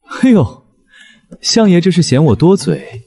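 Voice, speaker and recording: A man playing a brash, hot-tempered, teasing general in a period animated drama, cocky and blunt. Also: high voice, a little slow, breathy edge, full character voice. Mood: mocking.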